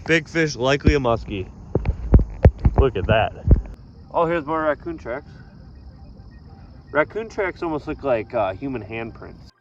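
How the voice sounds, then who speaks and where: A man at a middling pitch talks casually as he walks a trail, noting what he spots along the way. The sound is muffled camera audio with clear outdoor noise around him. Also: lively intonation, unhurried, pauses often. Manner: points things out as he walks.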